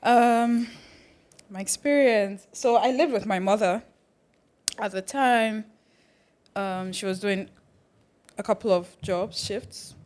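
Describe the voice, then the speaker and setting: A woman from the Netherlands, a podcast guest telling about her life in a relaxed, measured way. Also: mid-range voice. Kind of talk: casual interview chat.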